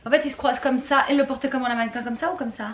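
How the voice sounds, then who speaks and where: A young woman in her twenties with a high, girlish pitch, chatting casually to camera. She is animated and teasing, and her voice carries room echo over faint background noise. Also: racing. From Belgium. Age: 20s. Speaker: vlogger presenting a clothing haul.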